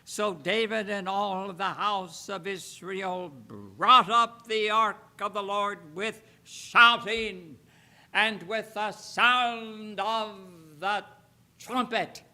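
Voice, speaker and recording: High-pitched, forceful man reading scripture. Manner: deliberate, emphatic phrasing with frequent pauses. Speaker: Bible reader. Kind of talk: solemn scripture reading aloud.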